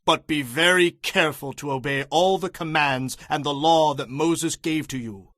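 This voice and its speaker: A man acts out a solemn leader of ancient tribes in an audio Bible drama. His voice sits fairly high for a man and is recorded dry. He declaims slowly and emphatically, with authority. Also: a bit bright, dry recording, natural voice. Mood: solemn, exhorting.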